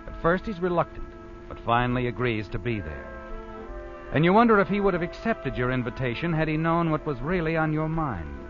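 Actor as ominous old-time radio mystery narrator, muffled. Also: male voice, medium pitch, close to an everyday voice. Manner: dramatic, insinuating. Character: ominous knowing narrator addressing the schemer as you.

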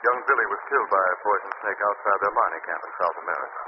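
An actor playing a steady, authoritative crime-fighting hero in a 1940s radio drama. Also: male voice, on the low side, racing, close to an everyday voice. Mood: calm. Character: calm, commanding male crime-fighting hero. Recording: lo-fi old radio broadcast with hiss.

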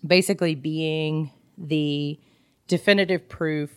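A woman co-hosting a casual book discussion, retelling things in an animated way and acting out dialogue. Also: low-pitched, slow, clean tone, dry recording, clean studio sound. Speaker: podcast co-host.

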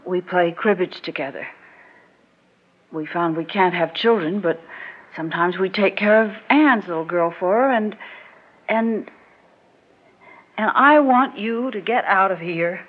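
An American actress playing a composed, resolute, quietly wistful married woman in a mid-century radio drama. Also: lowish voice, a little slow, pauses often. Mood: earnest, firm. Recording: dull old radio recording.

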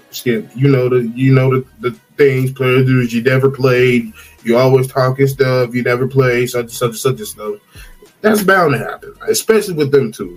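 A man's voice: medium pitch, low-quality recording. Slangy male sports podcast guest. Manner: slangy, loose, informal grammar. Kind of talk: casual sports talk.

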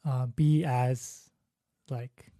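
A man's voice: medium pitch, fairly even intonation, drawn-out words, mellow, dry recording. Man, casual film chat, hesitant and reflective, dry close sound. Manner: thoughtful, hesitant, many fillers. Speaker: film discussion co-host.

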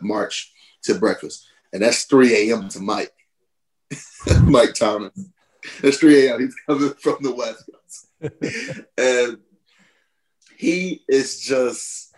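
Laid-back man telling stories, low voice. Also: rough recording. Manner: animated, laughing, jumps high when quoting himself. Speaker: podcast guest, former college football player. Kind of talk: casual storytelling.

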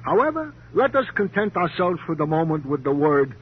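An actor playing a self-pitying police sidekick who speaks in ornate, precise, formal phrases in an old radio crime drama. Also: male voice, fairly high pitch, very animated, brisk, breathy edge. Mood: officious, pompous. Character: fussy, self-pitying male police sidekick with pompous diction. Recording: muffled, hissy old radio broadcast.

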